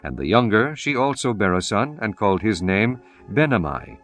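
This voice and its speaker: Low-voiced man solemnly narrating scripture. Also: big pitch swings, a bit bright, faint music behind. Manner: formal, measured archaic reading. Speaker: audiobook narrator.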